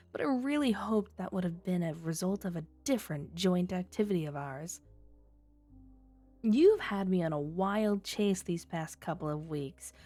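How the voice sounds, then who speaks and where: A woman's voice: mid-range voice, dark tone, no echo, lightly acted. A woman playing a flirty, teasing female criminal who toys with a detective in a coy, sultry ASMR roleplay. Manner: coy, sultry, playful innuendo.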